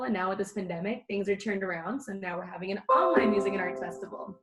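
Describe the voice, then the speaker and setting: A young woman hosts an online interview with an upbeat, welcoming delivery, heard over a video call. Her pitch sits in a middle range for a woman. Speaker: interview host for a student festival.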